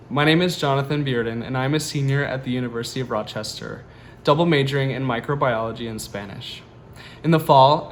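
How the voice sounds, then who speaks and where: A man's voice: medium pitch, a little hiss or hum. A young man in his twenties, a university student, reading a prepared, formal tribute to a former teacher. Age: 20s. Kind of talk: prepared award nomination statement.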